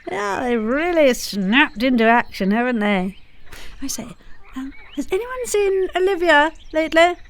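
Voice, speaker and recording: A woman's voice: high voice, sing-song, unhurried, full character voice. A woman playing a fluttery, hesitant lady in a comedy audio drama, full of little hums and tag questions. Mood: dithering, anxious.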